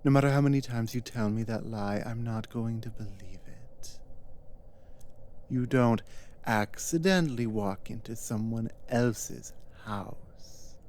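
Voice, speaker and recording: Casting: performer as dominant giantess captor for ASMR roleplay; very slow, deliberate delivery, stern, taunting, condescending. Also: medium pitch, a little mellow.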